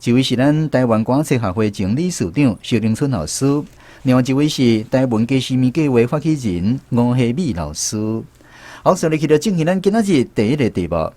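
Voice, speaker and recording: A man's voice: mid-range voice, lively intonation, fairly quick, mellow, no room sound. A man with a Taiwanese accent hosting a language lesson segment on the radio. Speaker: radio show host.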